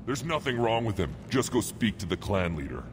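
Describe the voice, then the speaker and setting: A surly alien clan warrior in a video game. The voice is low, with emphatic swings in pitch. He gives curt, scornful commands over a clear ambient rumble. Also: big pitch swings, a little fast. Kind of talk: video game cutscene acting.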